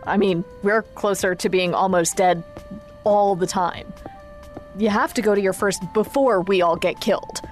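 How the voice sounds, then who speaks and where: Easygoing, mischievous young woman played by an actress, mid-pitched. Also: normal speed, soft background music, lightly acted. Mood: inviting. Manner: casual, blunt. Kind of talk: audio drama acting.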